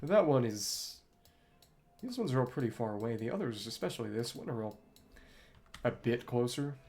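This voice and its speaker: A man's voice: medium pitch, very animated, moderate pace, a little mellow. A man doing live gameplay commentary as a streamer, thinking aloud in an understated, wry, musing way. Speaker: video game streamer. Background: game music.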